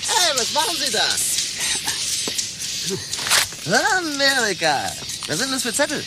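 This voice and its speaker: An actor in a radio play playing a blunt, eager young city courier with a casual, slangy way of talking. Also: male voice, very high voice, wildly swooping pitch, a little fast, over loud music, exaggerated voice, low-quality recording. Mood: eager, playful.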